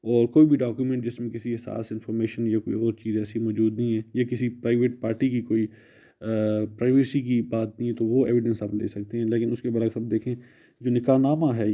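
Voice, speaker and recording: A man's voice: fairly low pitch, warm tone. A man with a Pakistani accent giving an explanatory exam-prep lecture, switching between two languages and restating points in other words. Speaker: law lecturer. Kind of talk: exam-prep lecture mixing Urdu and English. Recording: muffled, dull lo-fi audio.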